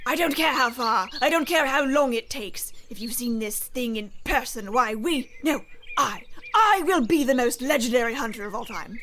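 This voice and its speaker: A woman's voice: a little high, animated, normal speed, fairly bright tone, slight background noise. A woman voicing a brash, impatient huntress in a comedic old-style radio play, brushing off warnings. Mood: eager.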